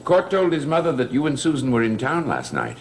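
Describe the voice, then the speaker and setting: An actor playing a courtly, patronizing gentleman with veiled menace in a 1960s television gothic soap. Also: mid-range voice, wildly swooping pitch, a little fast, slight background noise. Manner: courtly, condescending.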